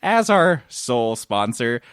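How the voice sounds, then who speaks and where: An American man co-hosting a podcast with casual, sweary comedic banter in an animated manner. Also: medium pitch, slow, clear, resonant tone, no room sound, clean studio sound. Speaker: podcast co-host.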